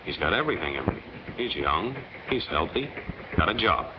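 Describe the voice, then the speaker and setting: A man's voice: sits low, big pitch swings, normal speed, very noisy. A man with an American accent narrating a 1940s film in a folksy, emphatic way. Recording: dull 1940s film soundtrack with music.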